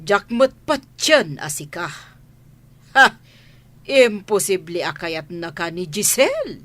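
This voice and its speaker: Stern older mistress, lively intonation. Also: female voice, lowish voice, slow, exaggerated voice. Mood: scornful. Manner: scolding, interrogating. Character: stern, suspicious wealthy mistress of a mansion, older mother. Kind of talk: Ilocano radio drama acting.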